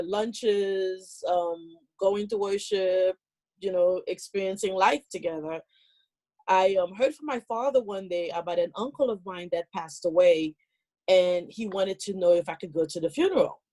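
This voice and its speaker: A woman's voice: medium pitch, low-quality recording. Woman from Haiti telling a story. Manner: warm storytelling, many fillers and pauses. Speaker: meeting participant. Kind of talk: personal story on a video call. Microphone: video call.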